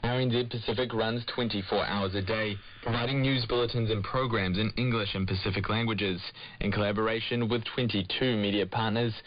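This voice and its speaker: A man's voice: fairly low pitch, fairly even intonation, brisk. A man reading a scripted radio news report as a reporter. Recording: dull lo-fi shortwave radio, clear static.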